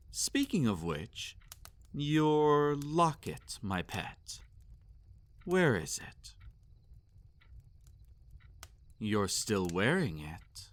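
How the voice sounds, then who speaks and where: A man playing a sadistic, possessive vampire master in a roleplay audio, speaking formally and condescendingly with silky menace and drawn-out commands. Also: mid-range voice, no echo. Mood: smooth, condescending. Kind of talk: roleplay audio acting, intimate and theatrical.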